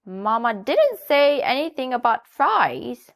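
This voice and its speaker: A woman voicing Nanny Hen, a fussy but kindly old hen babysitter, in a high sing-song storybook voice. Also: big pitch swings, slow pace, heavily acted. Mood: surprised.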